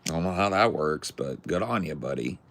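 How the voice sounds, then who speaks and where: A man's voice: a bass voice, animated, brisk, fairly bright tone, slight background noise. A young man from California with a Californian accent, chatting casually in a rambling, jokey, crude way. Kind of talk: casual chat.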